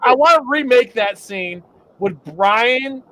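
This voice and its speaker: High-voiced excitable young guy. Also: slow pace. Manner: excited, rambling with restarts. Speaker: podcast co-host. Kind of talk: casual livestream chat.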